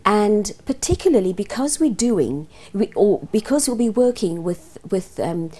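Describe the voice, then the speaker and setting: Mellow middle-aged South African woman. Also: medium pitch, lively intonation, measured pace. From Cape Town, South Africa. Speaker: guest, news trainer and journalist. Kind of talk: measured interview talk.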